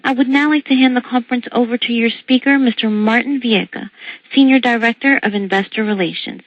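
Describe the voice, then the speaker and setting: High-voiced woman operator, dull lo-fi line. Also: a little fast, dry recording. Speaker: conference call operator. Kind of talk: formal scripted announcement. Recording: dull, lo-fi conference call line.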